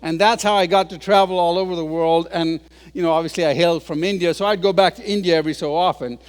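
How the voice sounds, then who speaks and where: A man in his sixties tells stories to a crowd with a joking, self-deprecating touch. His voice sits high for a man, and it rings out with the long reverb of a large hall. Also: fairly even intonation, fast, clean tone. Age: 60s. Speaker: guest speaker. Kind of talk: humorous public talk.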